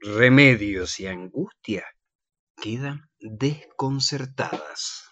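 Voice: medium pitch, lively intonation, takes it slowly, a bit bright, slight background noise. A narrator reading the scripted stage directions of a radio play, on a dull, dry recording.

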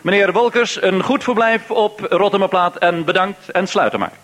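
Bright-voiced formal radio host, male. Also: sits high, normal speed. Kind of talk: live hosting before a hall audience.

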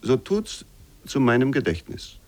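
An actor playing a solemn reciter who reads old literary prose deliberately for a radio play. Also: male voice, mid-range voice, huge pitch swings. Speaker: reader of literary quotations.

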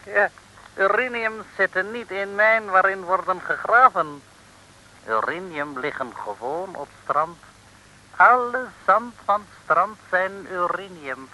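An actor playing a pompous, scheming island ruler as a broad comic caricature, speaking ceremonious pidgin in an old radio serial. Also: male voice, high voice, takes it slowly, bright, forceful tone, full character voice, rough recording. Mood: crafty, smug. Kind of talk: 1950s Dutch comic radio serial acting.

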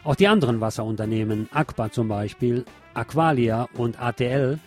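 Music with guitar plays behind a man presenting the news. His voice sits at a medium pitch. He reads from a script as a host would and slips in sardonic, opinionated remarks of his own. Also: moderate pace, darkish tone. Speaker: radio show host reading local news. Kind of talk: scripted news with personal commentary.

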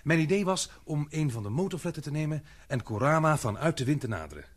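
An eager young expedition member. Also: male voice, on the high side, very animated, husky. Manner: quick, keen, respectful. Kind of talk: radio play acting.

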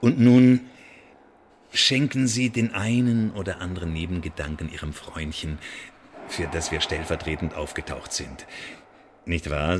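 Radio play: French secret policeman, low register, mock-polite, verbose, quietly menacing. Some ambient noise. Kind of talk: German radio drama acting.

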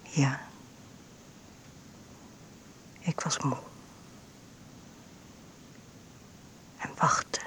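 Frail, breathy mother. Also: slow pace, a bit bright. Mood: tired, resigned. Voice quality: breathy, often dropping into whispers. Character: frail, ailing bedridden mother, weary and intense. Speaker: actress narrating in a radio play. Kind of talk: intimate first-person radio drama monologue.

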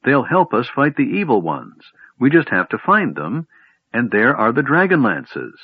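A man voicing an excitable, curious, childlike male kender in a storybook reading, talking in eager bursts. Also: lowish voice, measured pace, husky, dry recording, full character voice. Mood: excited. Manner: breathless, eager exclamations. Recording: dry tinny phone-like audio.

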